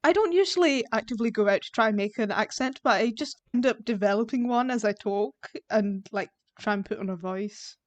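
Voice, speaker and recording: High-voiced, giggly Scottish woman. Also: brisk, hardly any pauses, no echo. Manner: giggly, playful, chatty. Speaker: role-play streamer. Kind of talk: casual chat on a live stream.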